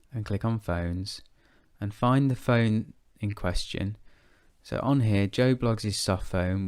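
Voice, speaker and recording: A man presenting a software tutorial step by step in a measured, methodical way. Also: low-pitched, clear, resonant tone, no echo, studio quality.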